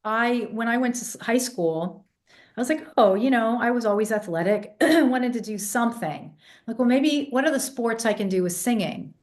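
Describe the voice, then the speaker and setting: A woman's voice: fairly high pitch, moderate pace. Congested English-raised woman vocal coach. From England. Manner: animated storyteller, demonstrates vocal sounds. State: congested, voice less clear than usual. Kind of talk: casual interview talk.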